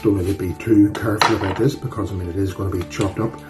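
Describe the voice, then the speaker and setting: Low-voiced Northern Irish man, chatty and folksy. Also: fairly even intonation, fast, music in the background. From Northern Ireland. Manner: chatty, folksy, self-deprecating. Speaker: cooking channel host. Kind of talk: casual cooking demonstration. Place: home kitchen.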